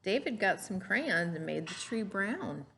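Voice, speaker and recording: A woman's voice: medium pitch, animated, unhurried, vocal fry, bright, forceful tone, slight background noise. A woman reading a story aloud to children like a teacher, adding explanations and questions for the listener. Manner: didactic, asks the listener questions.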